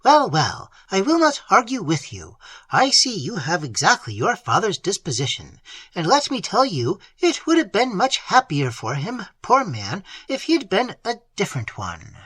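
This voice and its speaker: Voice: medium pitch, wildly swooping pitch, moderate pace, a bit bright, husky, no echo, pitched above the performer's own voice, full character voice. A reader voicing a haughty, scolding middle-aged aunt in an audiobook, with a self-important, sneering manner. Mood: patronizing. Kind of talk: audiobook character voice.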